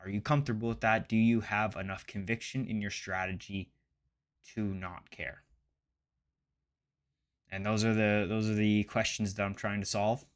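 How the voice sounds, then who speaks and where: Male finance vlogger, late 20s. Lowish pitch, informal, slightly halting and self-correcting delivery. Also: level tone. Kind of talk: casual chat to camera about investing.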